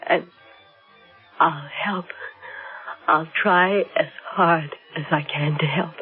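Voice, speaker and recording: A frightened young wife in a radio drama, fragile and dying. She pleads haltingly and intensely in a breathy voice. The old recording is narrow and muffled, with music behind. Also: sits low, fairly even intonation, full character voice. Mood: weak, urgent.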